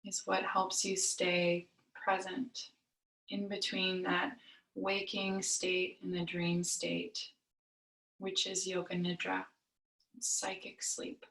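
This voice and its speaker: Slow, unhurried woman guiding meditation, roomy echo. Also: on the low side, completely flat delivery, vocal fry, a bit bright. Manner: soothing, unhurried, with long pauses. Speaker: yoga nidra meditation teacher. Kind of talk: guided meditation. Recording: roomy echo, distant mic.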